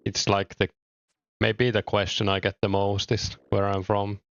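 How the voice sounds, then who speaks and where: A young man from Finland with a mild Finnish accent, chatting casually with viewers while streaming a game, relaxed and thinking aloud with small hesitations. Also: lowish voice, measured pace, no room sound, dull audio. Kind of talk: casual chat reading viewer comments.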